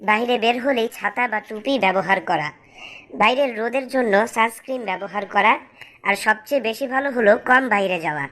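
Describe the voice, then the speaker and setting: A woman's voice: on the high side, fairly quick, a bit bright, lightly acted. Woman playing an instructive cartoon schoolteacher. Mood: explaining. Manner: instructive, explanatory. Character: schoolteacher giving earnest advice to children. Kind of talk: didactic cartoon dialogue.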